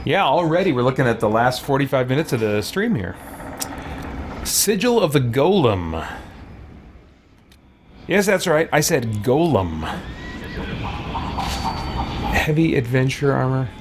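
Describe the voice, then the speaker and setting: A man's voice: medium pitch, big pitch swings, normal speed, pauses often, slight background noise. A man chatting through a live game stream, reading aloud and reacting as he plays. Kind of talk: live roleplay gaming commentary with chat shout-outs.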